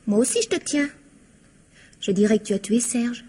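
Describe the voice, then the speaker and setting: A woman's voice: fairly high pitch, expressive, normal speed, mellow, slightly rough. A woman acting as a calculating young accomplice in a French radio mystery, with composed, pointed delivery. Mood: cool, calculating.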